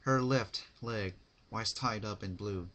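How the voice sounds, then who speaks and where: A man giving a dramatic story narration, with deliberate, halting phrasing. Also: fairly low pitch, fairly bright tone.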